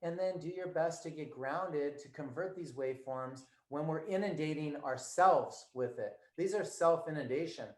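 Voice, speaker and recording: A man's voice: a little high, moderate pace, hardly any pauses. A man with a slightly raised voice speaks as an interview guest, emphatic and instructive. His voice comes over a remote call from an echoey room. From United States. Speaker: guest, performance coach. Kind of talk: conversational interview.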